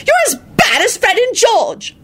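Woman doing a shrill scolding mother. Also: sing-song, unhurried, a bit bright, heavily acted. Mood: exasperated. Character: fussy, exasperated middle-aged mother.

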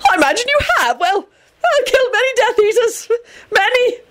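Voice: very high voice, animated, fairly quick, fairly bright tone, voice pushed up. A storybook reader voicing a pompous, portly little male minister who babbles in flustered, falsely jovial panic. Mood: terrified babbling.

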